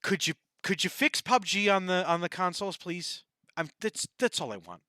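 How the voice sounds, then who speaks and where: A man's voice: sits high, sharp tone, no echo, clean studio sound. A man co-hosting a gaming podcast, chatting casually in a rambling, playful way. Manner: rambling, playful, quick to laugh. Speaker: podcast co-host.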